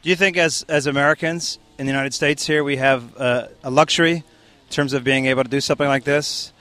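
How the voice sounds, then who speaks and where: A man's voice: medium pitch, steady tone, fairly quick, fairly bright tone. A man interviewing people outdoors at a protest, asking long, probing questions. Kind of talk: street interview.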